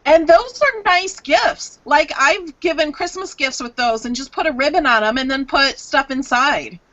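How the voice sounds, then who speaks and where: A woman's voice: high-pitched, expressive, normal speed, sharp tone, dull audio. Chatty woman co-host telling stories. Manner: chatty, shares personal anecdotes. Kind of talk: live online craft show chat.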